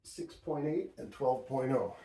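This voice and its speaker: A man narrating a casual hands-on tutorial, thinking aloud in a deliberate, halting way as he works through figures. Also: mid-range voice, lively intonation, mellow, a little hiss or hum, low-quality recording. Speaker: host of a DIY audio tutorial.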